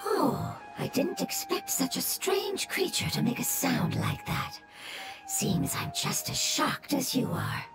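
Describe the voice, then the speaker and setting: A scholarly elemental mushroom creature from a video game. The voice is high, breathy and lilting. The creature speaks politely in formal, precise phrases over loud music. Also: female voice, sing-song, slow pace, exaggerated voice, low-quality recording. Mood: polite surprise. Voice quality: breathy, whispery.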